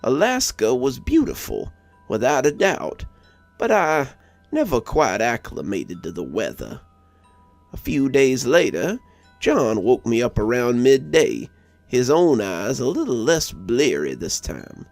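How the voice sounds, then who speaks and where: A man's voice: mid-range voice, wildly swooping pitch, measured pace, creaky, a little mellow, no room sound. A man reading a scripted story as a narrator. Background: music under the voice.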